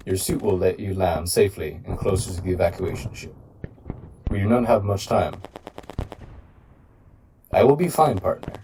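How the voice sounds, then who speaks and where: A huge battle robot played by a man speaks to a human partner in a low, creaky voice. The delivery is formal, measured and protective, in the manner of a sci-fi audio drama. It sounds set back from the mic amid clear noise. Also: mellow, recorded from a distance. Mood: resolute. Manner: formal, measured, literal-minded. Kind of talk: scripted audio roleplay addressed to the listener.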